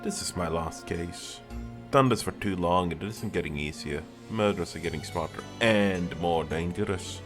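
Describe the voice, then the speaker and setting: Casting: male actor as seasoned detective, older, low voice, grave and tired, fantasy audio drama, music clearly behind. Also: moderate pace, lightly acted. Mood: weary, grave. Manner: weary, grave. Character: world-weary older male detective, grave, easily exasperated.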